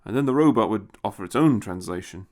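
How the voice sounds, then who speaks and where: A man's voice: lowish voice, animated, fast talker, noisy background. A man reading a scripted science fiction story as its narrator.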